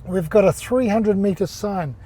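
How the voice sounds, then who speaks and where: A man with a New Zealand accent speaks in a mellow, fairly high voice. He talks with an older executive's easy, halting rhythm, full of fillers and restarts. He comes across as a relaxed guest in a casual interview. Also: breathy edge.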